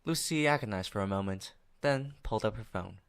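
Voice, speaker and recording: A man's voice: on the low side, fairly quick, clear, resonant tone, natural voice. A man playing the wry narrator of an audio drama, delivering scripted storytelling. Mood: measured, wry.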